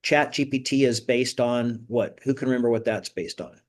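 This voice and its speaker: A man leads an informal group session in a conversational way, often turning to participants with questions. His voice sits at an average male pitch, and he talks like a host guiding a class. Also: fairly even intonation, moderate pace.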